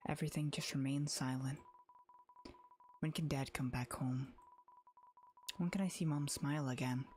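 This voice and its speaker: A woman's voice: deep voice, a little mellow, slight background noise. A woman voicing an anxious, lonely young girl in a visual novel, in an earnest, wistful inner monologue. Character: anxious, lonely young girl narrating her haunted thoughts. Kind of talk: visual novel read-aloud. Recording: music behind the voice.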